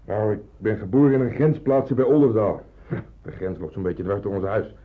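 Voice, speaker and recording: A man's voice: fairly low pitch, moderate pace, lightly acted. A man acting a polite, friendly half-Dutch German soldier in a wartime radio drama. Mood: polite, explaining. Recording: muffled, band-limited old radio recording.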